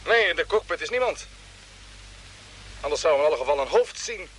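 Careful bossy spaceship captain, sharp high voice, old radio play with some noise. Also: male voice, expressive, fairly quick. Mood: cautious, concerned. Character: Jeff, male spaceship commander, decisive, cautious leader. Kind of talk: Dutch radio play acting. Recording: noisy old radio drama recording.

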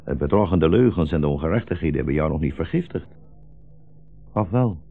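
An actor playing a weary, gentle old blind rabbi in a 1960s radio play, speaking in a solemn, wry, rhetorical way. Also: male voice, low voice, animated, brisk, creaky, heavily acted. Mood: sad, tender. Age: older. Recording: dull 1960s radio recording, music behind.

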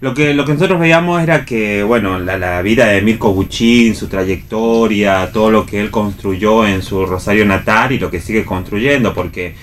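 A man's voice: on the low side, normal speed, hardly any pauses, slight background noise. A man with an Argentine accent hosting an unscripted cultural discussion on radio, reflective and often repeating words as he searches for the next one. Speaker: radio theatre show host.

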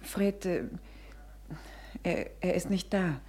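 An actress in a German radio play playing an anxious mother searching for her missing small son, tense and hurried, with strained politeness. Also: on the low side, warm tone. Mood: subdued, uneasy.

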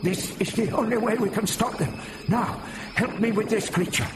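A man's voice: high voice, moderate pace, background noise, voice pushed up, lightly acted. A man voicing a valiant old alien rebel leader in a storybook reading, urgent and commanding. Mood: urgent, resolute.

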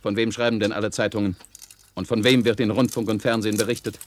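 Man playing an eager low-voiced robot servant. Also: level tone, fast talker, a little hiss or hum, natural voice. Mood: proud. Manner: earnest, deferential, addresses his boss respectfully. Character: eager, loyal, defiant male robot servant, proud of his family. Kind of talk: German science-fiction radio drama acting.

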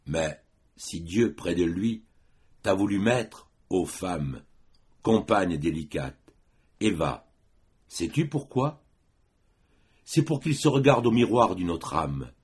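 Male audiobook reader for solemn verse: low-pitched, rough-edged voice, measured declamation with room between phrases. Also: darkish tone. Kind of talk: solemn poetry recitation.

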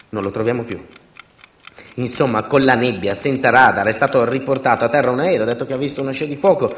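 Man voicing confused airport controller for documentary theatre, middle pitch, hesitant urgency, faint noise behind. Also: lightly acted. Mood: alarmed, confused. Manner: clipped, hesitant, urgent radio and phone talk. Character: harried, confused male airport tower controller. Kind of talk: theatrical re-enactment on stage. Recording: roomy, distant mic, faint noise.

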